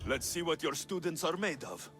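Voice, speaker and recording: Cocky mercenary hero, lively intonation. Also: male voice, a little high, normal speed, soft background music. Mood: taunting. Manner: dry, confident, taunting. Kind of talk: video game voice acting.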